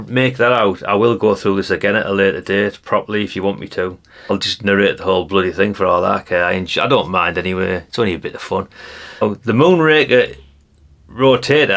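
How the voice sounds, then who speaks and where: Male hobbyist presenter, English accent, deepish and gravelly; loose, colloquial, matter-of-fact commentary, no script. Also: a bit bright. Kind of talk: casual unscripted show-and-tell commentary.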